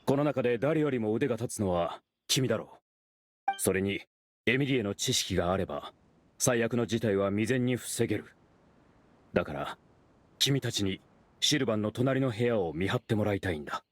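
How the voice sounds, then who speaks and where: A man's voice: lowish voice, lively intonation, moderate pace, slight background noise, natural voice. A man playing a businesslike, authoritative male officer in command, in anime game acting. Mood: serious, planning. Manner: authoritative, orderly explanations.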